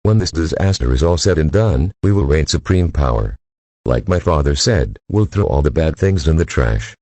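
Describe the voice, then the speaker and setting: Guy doing a gravelly evil mastermind for an audio drama, super deep, bossy and grandiose, dry close sound. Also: expressive, normal speed, warm tone, no echo. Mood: gloating. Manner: commanding, grandiose. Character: scheming male villain and escaped convict, gang boss. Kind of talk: amateur audio drama acting.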